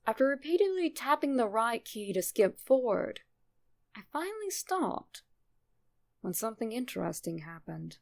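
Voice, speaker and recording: A woman with a creaky voice reading a scripted first-person horror story as a narrator. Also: fairly high pitch, sing-song, moderate pace, darkish tone.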